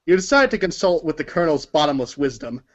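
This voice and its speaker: Higher-voiced man narrating, dull lo-fi sound. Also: sing-song, quick pace. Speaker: narrator of a group readers' theater. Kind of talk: read-aloud storybook narration.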